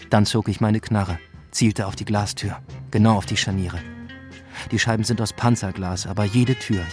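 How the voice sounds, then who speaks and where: An actor playing a wistful cop, narrating a radio drama in a reflective noir way. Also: male voice, on the low side, natural voice. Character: male cop in love with a gang of women robbers, wistful. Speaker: narrator and character. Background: music, plucked guitar.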